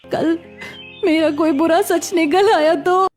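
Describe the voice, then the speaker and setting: A woman's voice: very high-pitched, steady tone, slow, darkish tone, soft background music. A woman voicing a young beggar woman, self-doubting and blunt, in emotional cartoon story dialogue. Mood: fearful.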